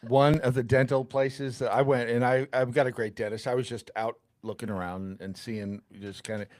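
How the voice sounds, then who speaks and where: A man with a medium-pitched voice chats as a podcast guest in a dry-sounding studio. He is casual and self-deprecating, tossing in jokes as he goes. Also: fairly even intonation, normal speed, darkish tone, dry recording. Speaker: radio host as podcast guest. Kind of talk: casual chat.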